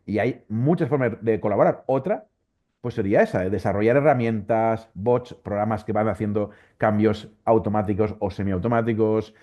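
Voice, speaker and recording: Low-voiced Valencian man, dull recording. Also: normal speed. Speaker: interview guest, volunteer Wikipedia editor. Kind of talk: conversational explanation in an interview.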